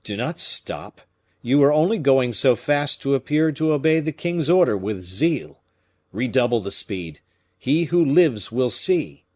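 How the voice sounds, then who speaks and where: A man's voice: mid-range voice, lively intonation, measured pace, darkish tone, pitched above the performer's own voice. A man reading an audiobook, voicing a nobleman's anxious, loyal aide who urges caution. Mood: pleading. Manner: urgent, pleading.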